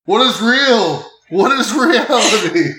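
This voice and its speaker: A man improvises casual role-play in a horror game podcast. His voice sits a bit high and moves slowly, and the room around it rings with echo. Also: animated, over a noisy crowd. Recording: echoey, reverberant room.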